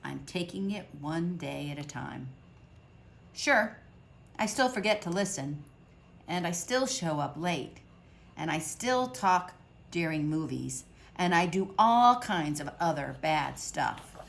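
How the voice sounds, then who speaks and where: A woman reading a picture book aloud to children at storytime, expressive, with dramatic pauses. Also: sits low, vocal fry.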